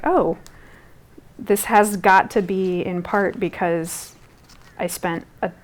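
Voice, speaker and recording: A young woman textile artist from Guatemala whose first language was Spanish, speaking casually in an interview, with lots of fillers and restarts. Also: lowish voice. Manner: rambling, many fillers and restarts.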